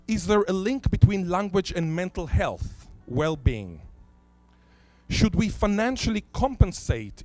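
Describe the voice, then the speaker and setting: A man whose first language is Hebrew, giving a conference talk in a lecture hall, posing rhetorical questions and stressing key words deliberately. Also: a little high, a little mellow. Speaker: conference speaker.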